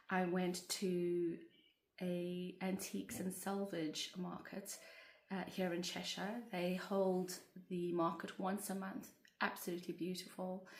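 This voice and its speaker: A woman with a cold, sounding croaky, chatting to her viewers in a gentle, meandering vlog full of fillers. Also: on the low side. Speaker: vlogger. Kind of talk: casual chatty vlog to camera. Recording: roomy echoey room.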